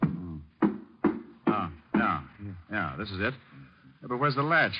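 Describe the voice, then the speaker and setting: An actor playing a wry, cool-headed American private detective in an old-time radio crime drama, bantering breezily. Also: male voice, lowish voice, sing-song, slow pace, loud music behind the voice. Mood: intent. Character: wisecracking, cool-headed male private detective in a spooky mystery. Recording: noisy, muffled old radio recording.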